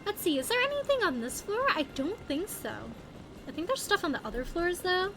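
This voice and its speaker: Woman, high-pitched, animated intonation, casual game streamer, playful exclamations, thinking aloud, clear background music. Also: a little fast, rough recording. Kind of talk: casual gameplay commentary, chatting to viewers. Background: music behind the voice.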